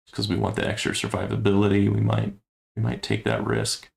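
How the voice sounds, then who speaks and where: A man giving casual gameplay commentary on a stream, thinking aloud in a low-key, musing way with a gravelly voice. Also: sing-song, fairly quick. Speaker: game streamer.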